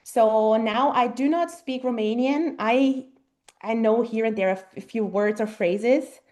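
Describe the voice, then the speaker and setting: A German-born woman in her thirties, a native German speaker, answering interview questions thoughtfully with frequent pauses and ums. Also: on the high side, darkish tone. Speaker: guest mother. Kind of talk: casual interview conversation.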